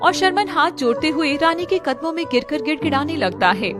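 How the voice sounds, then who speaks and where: A woman's voice: high-pitched, fairly quick, over music. A woman working as a story narrator, reading scripted narration in a dramatic style.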